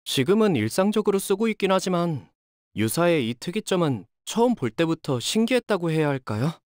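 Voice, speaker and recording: Young game mechanic: higher-pitched, boyish, modest, easily flustered, dry studio sound. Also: male voice, sing-song, a little fast, a little mellow, no echo. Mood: polite, earnest. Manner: boyish, polite, hesitant. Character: earnest, polite young boat repairman, modest and easily flustered. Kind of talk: video game character voice lines.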